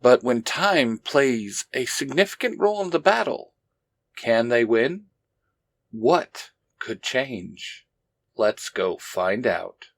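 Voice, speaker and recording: A man's voice: medium pitch, expressive, takes it slowly, vocal fry, dry recording. A man hosting a story show, reading a scripted, welcoming and promotional introduction. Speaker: host.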